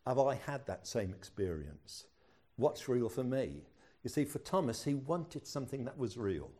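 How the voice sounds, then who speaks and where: A man's voice: medium pitch, sing-song, moderate pace, warm tone. An older man, a pastor preaching a sermon in a chatty storytelling way with self-deprecating humor.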